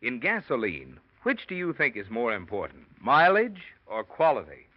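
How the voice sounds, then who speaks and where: A male radio announcer delivers a folksy sales pitch with a lively, swinging pitch. The recording is dull and lo-fi, like an old broadcast. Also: normal speed. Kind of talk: radio commercial read.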